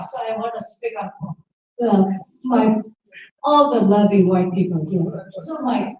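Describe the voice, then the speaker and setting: A woman's voice: on the high side, mellow. Taiwanese-accented lady asking a question, broken emphatic english, dull muffled sound from far off. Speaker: audience member asking a question. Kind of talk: public forum question. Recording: echoey hall, distant muffled mic.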